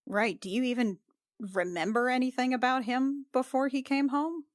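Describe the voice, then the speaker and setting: A woman playing a female adventurer in a tabletop game, casual, tactful and curious, with halting, colloquial speech. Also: high voice, steady tone, no room sound, clean studio sound, voice pushed up, natural voice. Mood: curious, gentle. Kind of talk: in-character tabletop roleplay.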